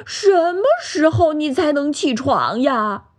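A woman's voice: a little high, sing-song, slow, breathy edge, full character voice. A woman voicing a playful, enthusiastic little pig in a children's storybook, insistent and coaxing. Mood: displeased.

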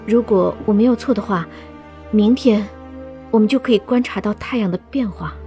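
A woman's voice: medium pitch, moderate pace, warm tone, soft background music, lightly acted. An actress playing a polite, earnest, determined young woman in a Chinese science-fiction radio drama. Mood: urgent, grave.

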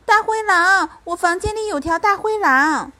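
A woman's voice: high-pitched, lively intonation, unhurried, full character voice. A woman voicing a small, frightened but earnest boy in a bedtime storybook, with a hesitant, breathless manner. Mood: frightened, urgent. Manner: breathless, hesitant, hushed when scared.

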